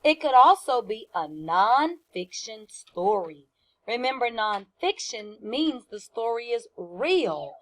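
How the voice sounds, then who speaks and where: A woman with a fairly high voice speaks as a first-grade teacher. She goes very slowly through a lesson for young children, explaining in simple terms. She repeats key points and puts questions to her listeners. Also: sing-song, fairly bright tone.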